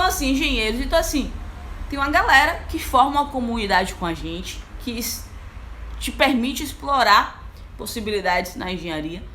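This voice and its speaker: A chatty young woman from Brazil, an engineer, talks straight to her viewers in a lively, informal way, leaning on filler words as she goes. Her voice sits a little high for a woman. Faint noise hums underneath. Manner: lively, chatty, frequent fillers like "então, assim". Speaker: engineer and online mentor. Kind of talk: casual live talk to an audience.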